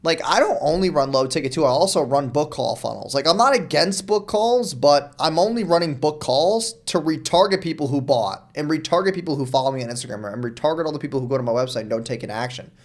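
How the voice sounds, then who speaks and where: A young man from New York, a guest on a podcast, talking fast and casually, throwing in "dude" and "like" often. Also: on the high side. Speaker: guest, marketer.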